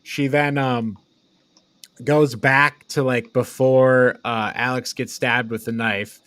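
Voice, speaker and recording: A man's voice: mid-range voice, fairly even intonation, slow, a bit bright, clean tone, dry recording. Casual man podcaster in a dry-sounding space. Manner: informal, loosely paraphrasing retelling. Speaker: podcast host. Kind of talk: casual movie recap and review chat.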